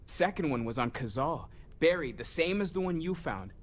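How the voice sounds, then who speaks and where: Casting: kindly scholar-explorer for a space game, gentle and earnest, mid to slightly high male pitch. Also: big pitch swings, normal speed. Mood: earnest, curious. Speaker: theological scholar turned explorer. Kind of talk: video game character dialogue.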